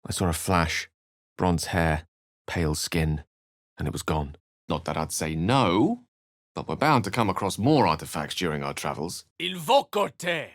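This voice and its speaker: A man's voice: sits low, big pitch swings, normal speed, no echo, studio quality, full character voice. An actor playing an eloquent, scholarly male wizard in a video game, articulate, theatrical and wry. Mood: incanting. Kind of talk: video game voice acting, dramatic narration and dialogue.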